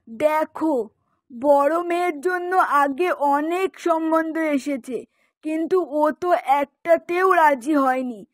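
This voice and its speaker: Woman acting a very high-pitched scolding mother. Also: no echo. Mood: concerned. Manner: scolding, emphatic, complaining. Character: scolding, exasperated middle-aged Bengali mother of two daughters. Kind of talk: Bengali cartoon voice acting. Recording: music behind the voice.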